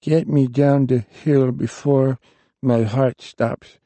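A man's voice: medium pitch, steady tone, slow, mellow, no echo, pitched above the performer's own voice. A man voicing a dying ancient warlock. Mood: weak. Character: ancient frail male warlock, dying, wry. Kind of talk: audiobook reading.

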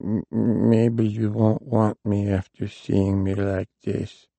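A man's voice: fairly low pitch, flat delivery, slow, creaky, mellow, no echo, full character voice. A man voicing an ancient, frail male warlock who is dying, with a thin, strained old voice, in an audiobook reading. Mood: weak.

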